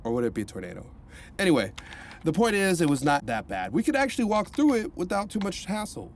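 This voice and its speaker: An upbeat, rambling male counselor in an audio drama. His voice sits a bit high and swings widely in pitch. A faint noise bed sits behind him. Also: wildly swooping pitch, fast talker. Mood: cheery. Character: cheerful male rehab counselor, upbeat and chatty.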